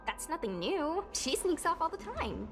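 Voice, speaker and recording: A woman voicing a brash, energetic young swordswoman in a game cutscene, blunt and a little teasing. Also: very high voice, normal speed, exaggerated voice. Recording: game cutscene with music behind.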